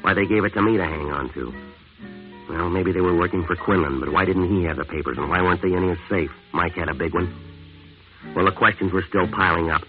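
Guy acting a tough deadpan american jazz musician telling his crime story, deep voice, tinny old radio sound, music behind. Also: fairly quick, close to an everyday voice. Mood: deadpan, brooding. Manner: clipped, deadpan, wisecracking. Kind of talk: 1950s hard-boiled radio drama narration and dialogue.

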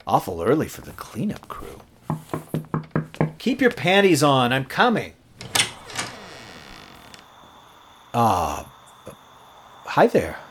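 A man's voice: medium pitch, huge pitch swings, slow pace, long pauses, background noise. A young man playing a nervous, eager rookie late-night rock DJ with an awkward, jokey manner in a horror audio drama. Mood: grumbling. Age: young adult.

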